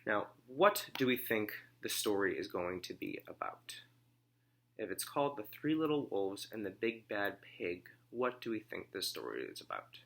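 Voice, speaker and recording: A man reading a story aloud as a teacher, phrasing it deliberately and simply for children and pausing to ask comprehension questions. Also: fairly low pitch, sing-song, creaky, a bit bright.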